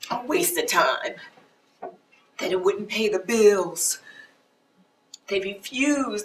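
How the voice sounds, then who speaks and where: Stage drama: regretful woman in her forties, high plaintive voice, echoey distant pickup. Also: sharp tone, a little hiss or hum. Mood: hurt, wistful. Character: hurt, regretful woman nearly forty-five who gave up dancing. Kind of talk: stage play acting. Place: theater stage. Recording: echoey stage, picked up from far off.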